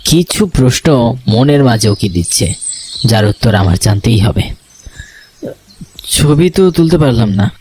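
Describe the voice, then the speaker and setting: A man's voice: medium pitch, animated, a little slow, long pauses, darkish tone, a little hiss or hum, lightly acted, poor audio. An actor playing a nervous, curious young man narrating his own horror cartoon tale. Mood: uneasy, suspenseful. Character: nervous, curious young male photographer telling his ghost story.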